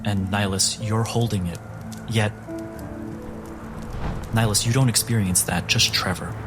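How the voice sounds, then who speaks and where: A man acting as game master, narrating events to the players in measured second person. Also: on the low side, fairly even intonation, over music.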